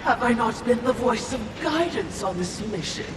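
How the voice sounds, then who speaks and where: A man's voice: animated, moderate pace, background noise. A man voicing an obsequious, sardonic spirit servant in a video game, speaking in a formal, snide and fawning way. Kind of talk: theatrical video game dialogue. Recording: echoey, distant, loud rumbling game ambience.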